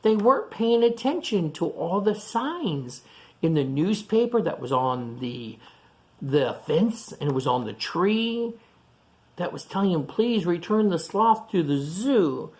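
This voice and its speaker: A woman reading a picture book aloud to children, chatting to them in animated asides and questions. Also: lowish voice, unhurried. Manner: animated asides to young listeners, asking questions. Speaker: storytime reader.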